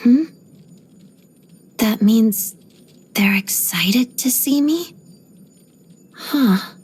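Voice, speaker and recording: A young woman ballerina from a video game, with a soft, breathy voice that often thins toward a whisper. She speaks in a composed, measured way, polite but guarded. Beneath the discipline sits a proud, quietly haunted temper. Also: medium pitch. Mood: calm, musing. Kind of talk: video game character voice lines.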